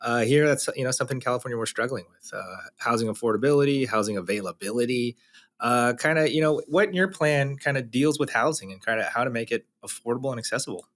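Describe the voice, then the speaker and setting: A man's voice: mid-range voice, quick pace, a bit bright. A man hosting a casual podcast interview, asking loose questions packed with fillers like "you know" and "kinda". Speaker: podcast host.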